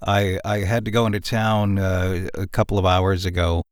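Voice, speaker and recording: A man from the United States co-hosting a podcast, chatting casually in a wry, unhurried manner. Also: low voice, little pitch movement, clean tone, no echo, clean studio sound. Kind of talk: casual chat.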